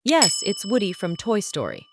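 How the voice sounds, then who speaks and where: Woman announcing a quiz, lively voice. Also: medium pitch, normal speed, heavy background noise. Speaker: quiz host. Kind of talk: scripted quiz narration.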